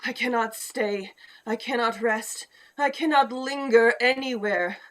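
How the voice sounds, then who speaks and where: A woman's voice: fairly high pitch, measured pace, fairly bright tone. A woman playing the mournful, stern ghost of a dead moneylender in a theatrical radio play, declaiming in solemn laments. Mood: weary, grave.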